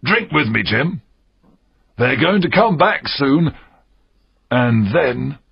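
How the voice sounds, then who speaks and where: Gravelly, wildly swooping pirate captain. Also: male voice, medium pitch, sing-song, slow pace, dull audio, exaggerated voice. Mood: conspiratorial. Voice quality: gravelly, husky. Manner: theatrical, drawling, swooping delivery. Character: fierce, cunning pirate captain, bold and domineering. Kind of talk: dramatised audiobook acting.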